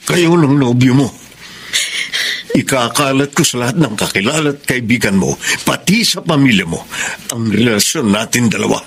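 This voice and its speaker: An actor in a radio drama playing a possessive, controlling secret lover who speaks with insistent menace. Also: male voice, medium pitch, expressive, moderate pace, vocal fry, husky. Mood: menacing. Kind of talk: Tagalog radio drama acting.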